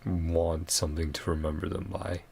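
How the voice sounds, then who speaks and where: A man giving quiet, casual reaction commentary as the host of a gaming video, in a low voice. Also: level tone, moderate pace, vocal fry, breathy edge.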